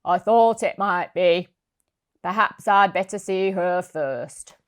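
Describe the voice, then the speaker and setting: A woman voicing a brisk, matter-of-fact male vet in a storybook, speaking emphatically. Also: on the low side, clear, resonant tone. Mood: businesslike.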